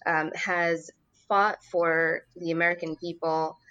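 A woman's voice: fairly low pitch, steady tone, slow, sharp tone, dull audio. A woman from Morocco, answering earnestly as a political candidate being interviewed.

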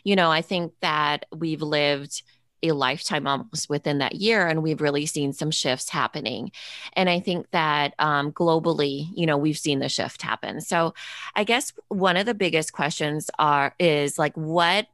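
A woman podcast co-host chatting casually, telling a story with relaxed fillers. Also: low voice, a little slow, dry recording, clean studio sound. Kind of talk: casual interview chat.

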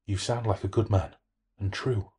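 A man's voice: fairly low pitch, steady tone, moderate pace, breathy edge. Man voicing a whispery, sly, grinning demonic spirit. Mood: coaxing, sly. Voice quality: whispery, soft. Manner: secretly grinning, insinuating. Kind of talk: horror audiobook-style reading.

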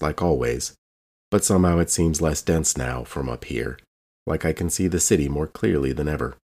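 A man playing a brooding, solitary character in a measured, reflective first-person scripted monologue for a tabletop game. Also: very deep voice, a little mellow. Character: brooding, solitary male bell-tower dweller, wistful narrator.

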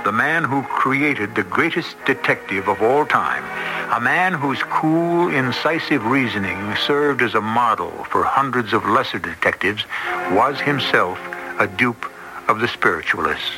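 Man, old-time radio presenter, measured scripted delivery, strong music bed. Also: mid-range voice, expressive, rough recording. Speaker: radio program host. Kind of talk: scripted dramatic narration.

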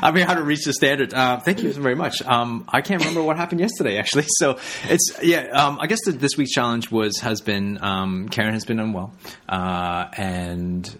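A middle-aged man co-hosting a podcast in casual chat, rambling and halting with many fillers and restarts. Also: medium pitch, fairly bright tone, slight background noise. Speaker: podcast co-host.

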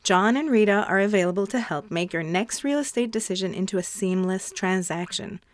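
A woman's voice: medium pitch, fairly quick, no echo, clean studio sound. A woman speaking as a podcast host, presenting to listeners and interviewing a guest. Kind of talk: scripted podcast introduction and sponsor reads, then interview questions.

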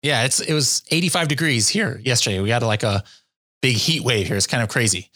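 A man's voice: mid-range voice, fairly quick, sharp tone, no room sound, clean studio sound. A man hosting a podcast, asking questions in an upbeat, conversational way. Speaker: podcast host. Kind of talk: podcast interview.

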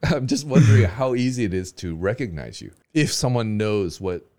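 Joking podcast host guy, low but bouncy voice, dry close sound. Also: very animated, warm tone, dry recording. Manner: playful, teasing, quick jokes. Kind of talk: casual interview chat.

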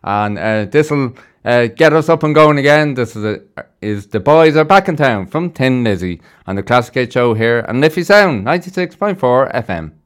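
A man's voice: medium pitch, expressive, normal speed, few pauses, clear, resonant tone. A man hosting a radio show from a studio in a casual, chatty and informal style. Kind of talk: casual live radio presenting. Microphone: close studio microphone.

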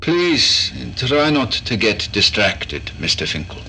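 An eccentric doctor in a horror audio drama. His voice is very piercing and rough, and he instructs slowly, with formal, controlling admonishment. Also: fairly low pitch, sing-song, pushed and bright, exaggerated voice. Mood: stern, impatient. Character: eccentric male doctor, controlling and patient-correcting.

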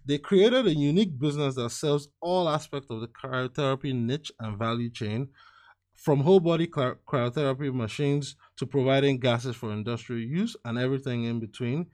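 A man hosting a podcast interview, reading his intro and sponsor spots in a measured way from a script. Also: mid-range voice, darkish tone. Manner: measured, reads from a script, spells things out slowly. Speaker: podcast host.

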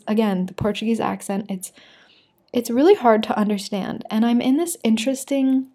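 A woman's voice: medium pitch, warm tone. A young American woman telling a personal story to camera in a casual, candid way, full of "like" fillers. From United States. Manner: chatty, frequent "like" fillers, candid. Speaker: vlogger.

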